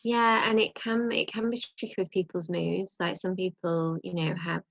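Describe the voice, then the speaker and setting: Low, gravelly British woman co-hosting. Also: normal speed, dry recording, dull audio. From Britain. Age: young adult. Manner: chatty, rambling with fillers. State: slightly croaky with a cold. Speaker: podcast co-host. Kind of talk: casual chat.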